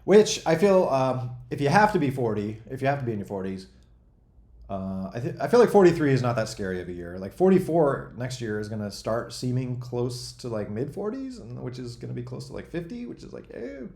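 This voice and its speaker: A man's voice: medium pitch, sing-song, brisk, vocal fry. Echoey, casual man in his forties. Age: 40s. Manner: rambling, self-deprecating, thinks out loud. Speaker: host and game developer answering chat questions. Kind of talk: casual freeform chat.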